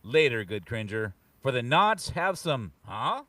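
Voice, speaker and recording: A man's voice: medium pitch, very animated, a little slow, pushed and bright, no room sound, far from the mic. A man playing a swaggering young fantasy prince with bluff, old-fashioned heroic phrasing in a comic book table read. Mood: hearty, heroic.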